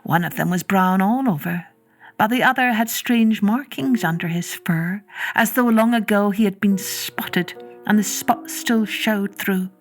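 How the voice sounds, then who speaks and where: A woman storyteller reading a children's tale expressively, with gentle, drawn-out phrasing and touches of breathy whisper. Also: medium pitch, soft background music.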